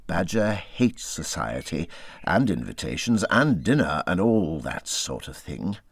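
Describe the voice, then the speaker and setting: A man's voice: low voice, very animated, measured pace, vocal fry, husky, no room sound, heavily acted. Man as a fussy, evasive Water Rat, wildly lilting. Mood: matter-of-fact. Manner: evasive, fussily reassuring. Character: sociable but cautious, evasive Water Rat. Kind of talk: storybook character voice.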